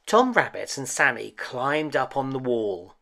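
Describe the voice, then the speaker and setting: Guy reading a picture book to kids, slow and careful, really lively up and down. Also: medium pitch, creaky. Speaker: storyteller, children's read-aloud host. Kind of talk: expressive children's storybook narration.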